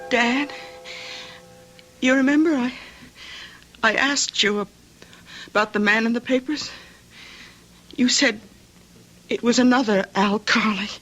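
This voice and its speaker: Old radio drama ingénue: young, high bright voice, earnest, hesitant, easily distraught. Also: female voice, measured pace, pauses often, low-quality recording. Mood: pleading, hurt. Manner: hesitant, faltering, emotional. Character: sheltered young schoolteacher, gangster's daughter, earnest and distraught.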